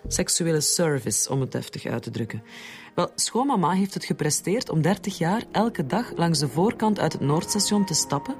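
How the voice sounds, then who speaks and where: A woman's voice: low-pitched, animated, moderate pace, a little mellow. An actress with a Flemish accent playing a cool, proud woman who speaks bluntly and dryly in a radio drama. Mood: sardonic. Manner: blunt, dry. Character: cool, blunt, sardonic female medical worker, proud wife. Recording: faint background music.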